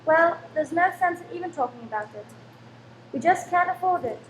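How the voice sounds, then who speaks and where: A high, fretful voice of a careworn Victorian landlady acted by a woman in a radio play. She is anxious and sharp-tongued, complaining dramatically. The room echoes, with faint noise behind her. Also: lively intonation, normal speed. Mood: bitter, worried. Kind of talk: old-time radio play acting. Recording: roomy stage recording, faint background noise.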